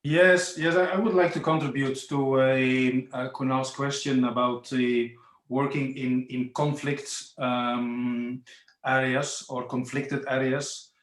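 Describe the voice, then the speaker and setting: Male panelist, mid pitch with little melody, halting and repetitive, roomy audio. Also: level tone. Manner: halting, repeats words. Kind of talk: unscripted panel contribution. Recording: echoey room on webinar connection.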